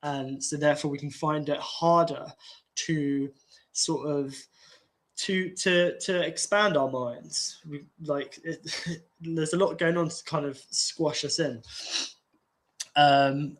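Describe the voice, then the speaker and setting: A man, nasal and congested, talking in a slow, halting way with many restarts and fillers. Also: fairly high pitch, steady tone. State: congested from an infection. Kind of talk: casual rambling talk.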